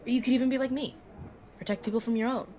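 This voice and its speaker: A woman playing a brisk, protective vampire mentor in an audio roleplay, talking casually and teasingly to a new fledgling. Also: on the high side, steady tone, dark tone, a little hiss or hum, natural voice. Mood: explaining, wry. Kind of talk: narrative audio roleplay, addressed to the listener.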